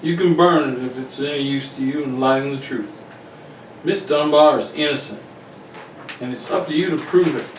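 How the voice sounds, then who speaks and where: A man's voice: fairly high pitch, normal speed, natural voice. A man playing an arrogant, hot-tempered wealthy tycoon in a radio mystery, blunt, imperious and threatening. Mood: brusque. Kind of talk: 1940s radio mystery acting. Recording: roomy, distant, faintly noisy recording.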